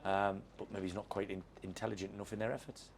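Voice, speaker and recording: Low-voiced football manager, frank. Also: male voice, flat delivery, quick pace. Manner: frank, reflective, repeats words while thinking. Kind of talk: post-match interview. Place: football stadium.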